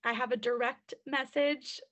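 A woman's voice: sits high, fairly even intonation, a little slow, fairly bright tone. A woman college admissions counselor answering questions on a webinar through a computer mic, chatty and full of fillers.

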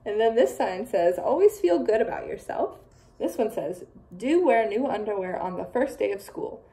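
A young woman teacher reading a picture book aloud to children, expressive and full of playful asides. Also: fairly high pitch, normal speed, poor audio. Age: young adult.

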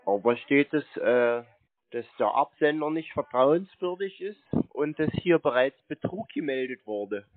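A man's voice: medium pitch, animated, measured pace, no echo. A man arguing casually on a phone call in a matter-of-fact, contradicting way. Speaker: scam-baiter taking a call. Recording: narrow phone-like sound, dry.

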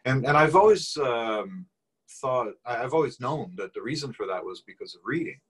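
A man's voice: mid-range voice, lively intonation, normal speed, husky. A Brazilian-born man in his forties whose first language is Portuguese, talking thoughtfully in a video call interview from a small room at home, often restarting and repeating words. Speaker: guest. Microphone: video call webcam.

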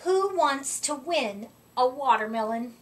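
A middle-aged woman reading a rhyming picture book aloud to children, with deliberate, expressive emphasis, recorded a short way from the mic. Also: high voice. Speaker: children's storytime reader. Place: indoor room, seated before a curtain. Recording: voice distant from the microphone.

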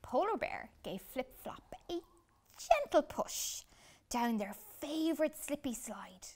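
A woman reads a children's picture book expressively, with deliberate dramatic pauses. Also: high voice, very animated, darkish tone. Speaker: children's storytime reader. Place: small furnished room indoors.

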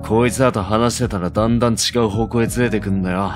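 A man's voice: fairly low pitch, steady tone, quick pace, over music, close to an everyday voice. A man playing a blunt, prickly young delinquent with a curt manner in a romantic audio drama. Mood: curt, annoyed.

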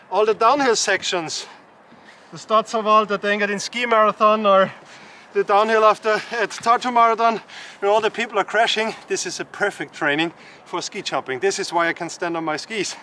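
A man in his thirties hosting a casual skiing vlog, upbeat and quick to laugh, recorded on an action camera outdoors. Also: high-pitched. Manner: upbeat, laughs often, excitable. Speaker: vlog host, cross-country skier. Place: outdoors on snowy ski hills and in a changing room. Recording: noisy outdoor camera sound.

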